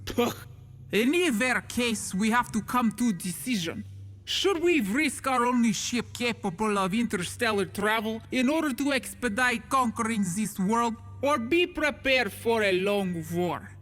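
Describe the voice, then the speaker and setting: High male voice, actor as a weary alien council leader, pompous, formal, music behind, audio drama. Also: expressive, measured pace, a bit bright, exaggerated voice. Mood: deliberating. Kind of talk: science fiction audio drama acting.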